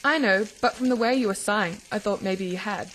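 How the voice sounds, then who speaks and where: A caring, teasing teenage big sister from a radio drama, played by a woman. She speaks at a middling pitch, questioning and reassuring. Frying and sizzling noise runs behind her voice. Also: fairly quick, fairly bright tone, lightly acted. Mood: gentle, probing. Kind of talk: radio drama acting for English learners. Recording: clear background noise of sizzling cooking. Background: frying and stirring kitchen sounds.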